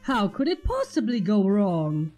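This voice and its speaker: A woman voicing a pompous, excitable male skeleton in a story reading, proclaiming everything emphatically. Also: mid-range voice, a little slow, faint music behind. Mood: eager.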